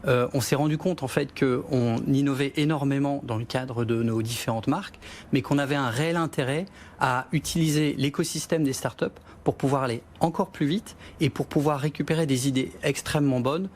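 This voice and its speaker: A male executive speaks at a mid-range pitch, giving measured answers broken by small hesitations. There's a faint noise under the recording. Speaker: company executive guest. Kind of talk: business interview answers.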